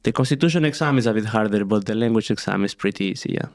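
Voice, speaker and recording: Man, interview guest, lowish voice, laid-back, lots of fillers. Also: very fast. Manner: easygoing, fillers like "you know". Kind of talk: casual interview chat.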